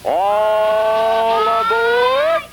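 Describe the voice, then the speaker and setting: A man's voice: very high-pitched, huge pitch swings, very slow. A man hosting a live children's television game show, playfully prompting young contestants in an old broadcast recording. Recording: noisy old TV broadcast archive.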